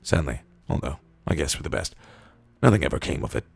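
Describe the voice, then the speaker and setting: Man, extremely low voice, heavy vocal fry, deadpan storytelling, pauses between phrases, close dry sound. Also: dry recording. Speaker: reader of internet copypasta posts. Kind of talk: deadpan comedic reading of written posts.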